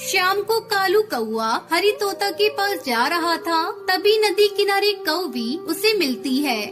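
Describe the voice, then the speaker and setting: With music underneath, a woman tells a scripted cartoon tale. Her narrating voice is strikingly high. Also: animated, slow.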